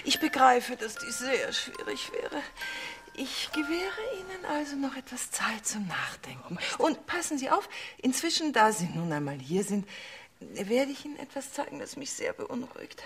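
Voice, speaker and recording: A high-voiced, capricious seductive queen. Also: very animated, moderate pace, a bit bright, faint music behind, full character voice. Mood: teasing, confiding. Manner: coaxing, teasing, breathy asides and giggles. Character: seductive, capricious, commanding queen, flirting with a visitor. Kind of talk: German radio play acting.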